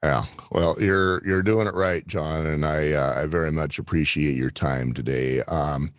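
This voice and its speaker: Deep-voiced reflective male host. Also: fairly even intonation, unhurried, dry recording, studio quality. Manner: reflective, encouraging interviewer. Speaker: podcast host. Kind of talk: interview hosting.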